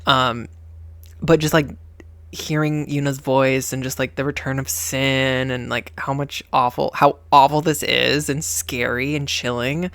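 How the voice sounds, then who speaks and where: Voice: mid-range voice, little pitch movement, unhurried, creaky, fairly bright tone, no echo. Dramatic reaction youtuber, rambly emotional casual chat, mid voice, dry close mic. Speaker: reaction video host.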